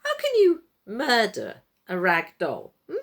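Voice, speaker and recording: Casting: woman playing scornful male puppet master, storybook style, stammering disbelief, voice leaping high and low. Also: huge pitch swings, takes it slowly, full character voice. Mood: mocking amusement. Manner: mocking, stammering, incredulous.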